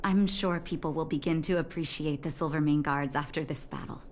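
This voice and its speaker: An actress plays a grown woman who doctors at a clinic in a video game. She sounds caring, polite and composed in a slightly low voice, with faint ambience behind her. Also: steady tone, a little fast, a little hiss or hum, natural voice. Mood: grateful. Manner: gentle, polite. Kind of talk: voiced game story dialogue.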